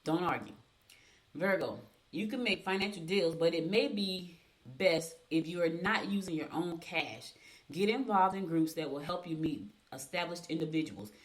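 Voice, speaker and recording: A woman with a slightly low voice hosts a radio segment. She reads horoscopes and adds casual advice. Her delivery is blunt and emphatic, and she repeats key points to drive them home. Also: lively intonation, moderate pace. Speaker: radio host.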